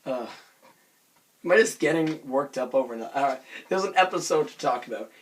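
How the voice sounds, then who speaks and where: Young man, hoarse and raspy, casual vlogger, self-conscious and rambling, mid pitch. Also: lively intonation, a little fast. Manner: self-conscious, rambling with many fillers and restarts. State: hoarse from screaming. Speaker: vlogger reviewing a cartoon episode.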